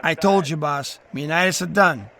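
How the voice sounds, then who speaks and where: A man's voice: on the high side, normal speed, gravelly, a crowd in the background. A man playing a loyal, earnest, scrappy gangster underling in a noir audio drama, deferential and full of old mob slang. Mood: earnest, pleading.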